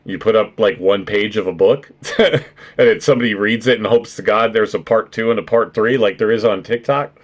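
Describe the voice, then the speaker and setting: A man with a naturally deep voice hosting a casual stream chat, joking, swearing and laughing often. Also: a little fast. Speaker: streamer and podcast host.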